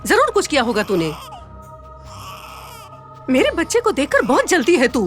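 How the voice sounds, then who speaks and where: An actress playing a harsh stepmother who scolds loudly and coos over her own son. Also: a little fast, soft background music. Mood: furious scolding. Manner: scolding, shrill, lively pitch. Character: harsh adult stepmother, cruel and shrill. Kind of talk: melodramatic web drama acting.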